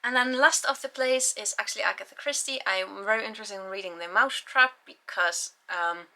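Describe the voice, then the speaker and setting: Bright-voiced Icelandic woman chatting about books. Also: medium pitch. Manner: rambling, chatty, with frequent sighs and ums. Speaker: book vlogger. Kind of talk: casual book haul chat.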